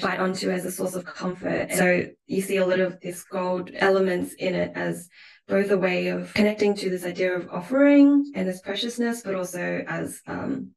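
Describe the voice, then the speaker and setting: A woman with an Australian accent, speaking reflectively and thoughtfully about her own work as an artist in an interview, in a measured way with pauses mid-phrase. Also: fairly low pitch, gravelly. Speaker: visual artist being interviewed. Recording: roomy, echoing sound.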